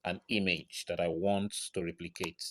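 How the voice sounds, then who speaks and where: Male tutorial presenter, low register, informal step-by-step narration, frequent little confirmation tags. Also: flat delivery, moderate pace, a little hiss or hum. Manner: frequent check-in tags like right and okay.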